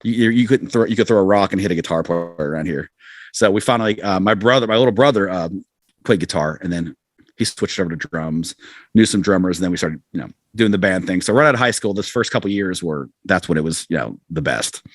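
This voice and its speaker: Low-voiced, quick-talking American man in his forties. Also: dry recording, clean studio sound. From southern United States. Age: 40s. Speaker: podcast guest, rock musician. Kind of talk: casual interview storytelling.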